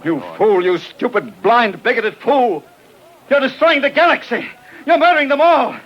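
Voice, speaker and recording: An actor playing a desperate, bitter scientist in an impassioned 1950s radio drama. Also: male voice, very high-pitched, normal speed. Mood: frantic, furious. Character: desperate, bitter male scientist pleading to save the galaxy. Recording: muffled, noisy old radio recording.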